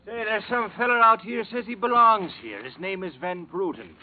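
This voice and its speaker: Blustering Irish beat cop, pitched very high, folksy and prickly, old-time radio play on a narrow-band recording. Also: male voice, big pitch swings, normal speed. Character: brash, touchy Irish policeman. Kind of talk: 1940s-50s radio acting. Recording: thin band-limited vintage radio recording.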